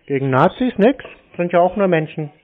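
A man answers a phone call with dry, clipped, mocking deadpan. His voice comes through thin and tinny, as over a telephone. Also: high-pitched, fairly quick. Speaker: call recipient, prank-answering scam calls. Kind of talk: phone conversation. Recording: tinny, narrow phone line.

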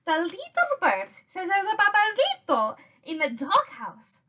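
A woman voicing a cheeky, boastful little boy kitten in a children's storybook, excitable and theatrical. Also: very high-pitched, measured pace, voice pushed up, heavily acted. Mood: excited, boastful. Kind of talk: children's storybook read aloud.

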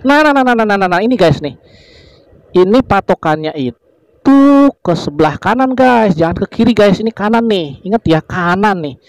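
A man's voice: sits high, expressive, moderate pace, no echo, rough recording. A man from Indonesia narrating a motorbike ride through village roads in casual, chatty fashion, constantly addressing his viewers and wondering aloud about the way ahead. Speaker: motovlogger.

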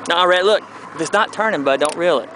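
A middle-aged father coaching his kids while fishing on a lake, encouraging them with excited whoops. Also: a little high, quick pace. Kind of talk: casual coaching on a boat. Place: outdoors on a small boat on a lake. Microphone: handheld camera mic. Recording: loud wind and boat noise.

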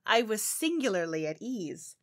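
A woman's voice: mid-range voice, big pitch swings, moderate pace, fairly bright tone. A woman playing a guilt-haunted, unhinged murderer in a theatrical, intense audio drama monologue. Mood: smug, composed. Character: mad, guilt-haunted murderer, falsely calm then frenzied. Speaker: narrator of a horror tale.

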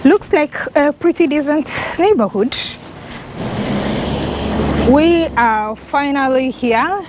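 A woman's voice: sits high, animated, takes it slowly, noisy background. A young Kenyan woman with a Kenyan accent, chatting to an action camera held at arm's length as she walks a sunny residential street. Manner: chatty, enthusiastic, talking to the camera while walking. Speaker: travel vlogger. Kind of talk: walking travel vlog.

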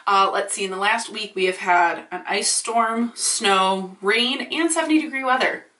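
A woman's voice: medium pitch, normal speed. Chatty, profane young woman in echoey room. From Michigan. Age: 20s. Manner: chatty, profane, animated, ranty. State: congested from allergies. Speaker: vlogger. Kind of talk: casual ranting vlog. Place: small indoor room. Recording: roomy echo, slightly distant.

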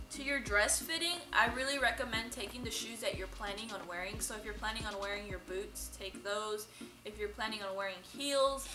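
Young woman giving casual tips on a vlog, highish voice, roomy shop sound, music behind. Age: young adult. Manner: chatty, informal, explanatory. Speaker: vlog host, boutique worker. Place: indoor dress shop. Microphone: camera a few metres away. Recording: roomy sound from camera distance.

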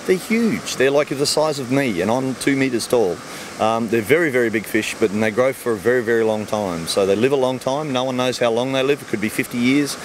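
A man's voice: medium pitch, background noise. A middle-aged Australian man, a fish farmer, answering questions in an easygoing way outdoors by the ponds, speaking into a handheld mic. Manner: easygoing, explains simply with everyday comparisons. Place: outdoors beside farm ponds. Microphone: handheld mic held by interviewer.

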